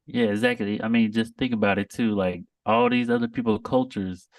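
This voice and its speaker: Deep monotone man, laid-back. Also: a little fast, no echo, far from the mic. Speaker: podcast co-host. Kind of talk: casual podcast chat.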